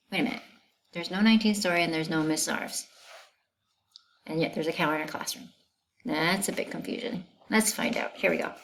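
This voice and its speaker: A woman reading a children's story aloud, expressive and pausing to put questions to her listeners. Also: lowish voice, slight background noise.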